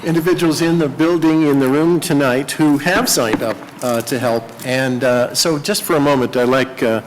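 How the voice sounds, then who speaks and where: Mid-pitched man chairing in echoing room. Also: steady tone, moderate pace. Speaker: board meeting chair. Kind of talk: informal meeting facilitation. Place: public meeting room. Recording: reverberant meeting room, background noise.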